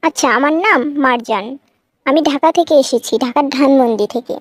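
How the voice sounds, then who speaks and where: A woman's voice: high voice, a little fast, no echo, natural voice. A woman playing a polite, curious young university student from the city in a cartoon comedy, with a standard Dhaka accent and a questioning manner. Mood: apologetic. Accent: standard Dhaka Bangla.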